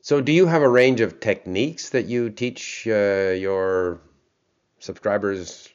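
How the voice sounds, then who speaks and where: A man with a very deep voice hosts the interview, thinking aloud as he asks his questions and restarting his phrases along the way. His voice comes through dull and lo-fi, with the top of the sound missing. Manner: thoughtful, reflective, a little halting. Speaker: interview host.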